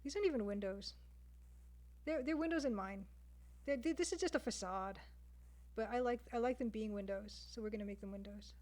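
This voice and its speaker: A woman's voice: on the high side, a little fast, pauses often, darkish tone. A woman with a Canadian accent chats casually to stream viewers, thinking aloud as she draws. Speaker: livestreamer, game artist. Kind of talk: casual chat with stream viewers while drawing.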